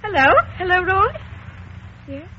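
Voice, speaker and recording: An actress playing a young dancer torn by wartime love in a 1940s radio drama, soft and emotional. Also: female voice, very high-pitched, a little slow. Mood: distraught. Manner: soft and emotional, often breathy and tearful. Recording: muffled old radio broadcast with heavy hiss.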